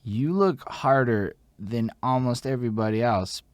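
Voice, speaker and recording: A man's voice: mid-range voice, measured pace, no room sound. A man co-hosting a podcast, talking in a rambling, hesitant way with lots of fillers. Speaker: podcast co-host. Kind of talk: casual interview chat.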